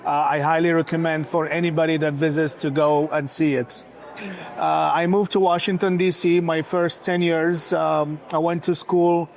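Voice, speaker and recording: A man from Morocco, a restaurant founder talking as a guest in a casual interview, pausing often with filler sounds. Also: fairly high pitch, little pitch movement. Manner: hesitant, many filler sounds.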